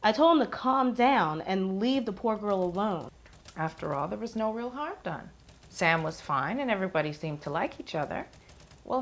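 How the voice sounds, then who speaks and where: Actress playing aggrieved ex-girlfriend, creaky voice. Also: mid-range voice, natural voice. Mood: hurt, defensive. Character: aggrieved, curious ex-girlfriend giving testimony about her lover. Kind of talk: naturalistic film monologue, testimony-like.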